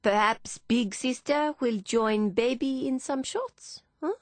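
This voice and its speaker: A woman's voice: on the high side, slow, no room sound, voice pushed up. A woman voicing an arrogant, leering French man in an audiobook, with a French accent and a smug, suggestive drawl.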